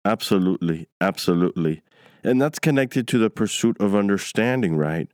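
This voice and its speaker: A man from New York hosting a conversational interview in an affirming, deliberate way. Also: on the low side, expressive, darkish tone, dry recording, studio quality. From New York, United States. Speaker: interviewer, host.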